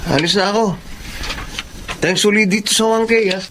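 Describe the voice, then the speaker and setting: An actor with a Filipino accent playing a spoiled, manipulative young boyfriend who sweet-talks in a radio drama. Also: male voice, high-pitched, moderate pace, fairly bright tone, a little hiss or hum. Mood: sweet-talking.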